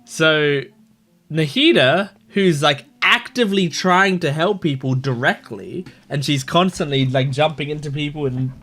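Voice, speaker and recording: A man's voice: fairly high pitch, a little slow, fairly bright tone. A man giving informal gameplay reaction commentary, musing aloud and swearing now and then. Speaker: gaming video host.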